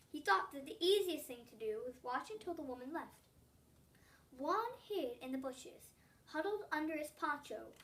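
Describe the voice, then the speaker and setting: A woman's voice: sits high, a bit bright, recorded from a distance. A girl of about eight or nine reading a storybook aloud expressively, with occasional stumbles over words. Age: child, about eight or nine (3rd grader). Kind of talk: read-aloud story narration.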